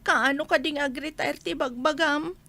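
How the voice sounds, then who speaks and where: A woman's voice: high voice, fairly even intonation, moderate pace, sharp tone. A woman playing a sorrowful young wife left by her husband, pleading tearfully in an Ilocano-accented radio drama. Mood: sad, worried.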